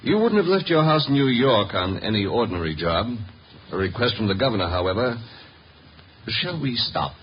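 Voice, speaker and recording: Low menacing gangster, polite and formal, lots of up and down, old noisy radio drama. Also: male voice, very animated, husky. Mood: veiled menace. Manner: formal, veiled threats, deliberate. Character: smooth-talking, menacing male racketeer. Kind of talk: 1950s radio drama acting. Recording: dull, noisy 1950 radio recording.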